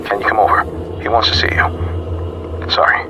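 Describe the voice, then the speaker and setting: Actor as a low, reassuring doctor over music. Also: male voice, fairly even intonation, moderate pace, lightly acted, rough recording. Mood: falsely soothing. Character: smooth, reassuring fake hospital doctor, male villain. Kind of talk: radio drama acting.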